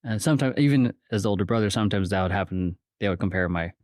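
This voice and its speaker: A man's voice: lowish voice, fast, darkish tone, dry recording, studio quality. A man in his thirties from the Philippines, speaking as a podcast guest in a hesitant, reflective way with many restarts. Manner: hesitant, restarts often, thinks aloud. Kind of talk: casual reflective interview.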